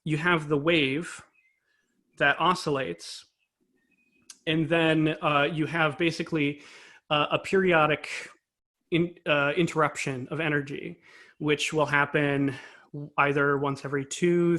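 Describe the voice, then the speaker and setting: A man's voice: fairly high pitch, steady tone, measured pace, sharp tone. A man being interviewed as an expert, giving an informal, analytical explanation and choosing his wording carefully. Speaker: interview guest, composer and conductor.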